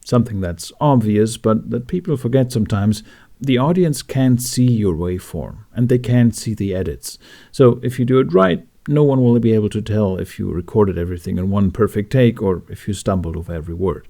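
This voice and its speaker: A man talking through a software tutorial in a casual, informal way with wry asides. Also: lowish voice, a little fast, gravelly. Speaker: tutorial host.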